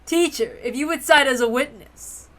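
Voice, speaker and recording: A woman's voice: high-pitched, moderate pace, a bit bright. A woman voicing a proud, theatrical witch in a visual novel read-aloud, speaking in a ceremonious, declamatory way. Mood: solemn, grand.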